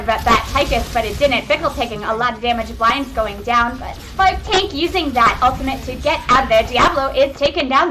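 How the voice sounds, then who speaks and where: A young woman giving excitable, chatty live commentary on a video game match, talking to her viewers from home on a webcam microphone. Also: sits high. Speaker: esports caster. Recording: webcam mic with faint noise.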